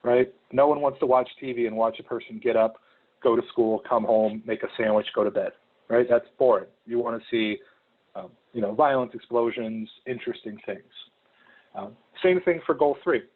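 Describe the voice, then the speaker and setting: A young man from Ohio giving a relaxed, conversational presentation about his volunteer work, with frequent ums. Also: medium pitch, moderate pace. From Ohio, United States. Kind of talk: information session talk. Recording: phone-like narrow sound.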